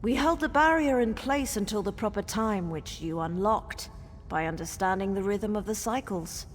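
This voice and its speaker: A woman's voice: medium pitch, normal speed, close to an everyday voice. An actress playing a solemn, ancient female spirit guardian who speaks cryptic riddles ceremonially in a fantasy game. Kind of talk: theatrical fantasy game dialogue. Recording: cavernous long reverb with background noise.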